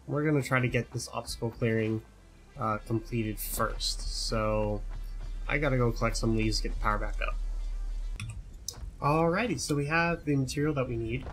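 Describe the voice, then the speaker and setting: A man's voice: medium pitch, fairly bright tone. A young man giving casual tutorial commentary over gameplay, chatty, often repeating words and asking "right?". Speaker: gaming YouTuber.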